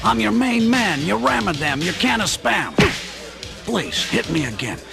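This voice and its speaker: A man's voice: high-pitched, sing-song, unhurried, gravelly, over loud music, full character voice. A man playing a brash, blunt working-class plumber in a comic radio play. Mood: smooth-talking, wooing.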